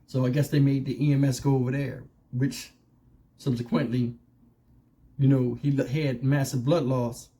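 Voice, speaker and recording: Mellow-voiced man giving casual commentary. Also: medium pitch, level tone, normal speed. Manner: colloquial, conversational, punctuated with rhetorical questions. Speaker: YouTube commentary host.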